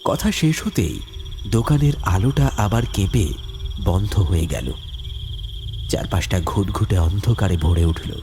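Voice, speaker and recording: A man's voice: sits low, lively intonation, a little slow, pauses often, noisy background. A man narrating a horror story in a dramatic, suspenseful way. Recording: loud background music with insect sounds. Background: eerie music, crickets and insects.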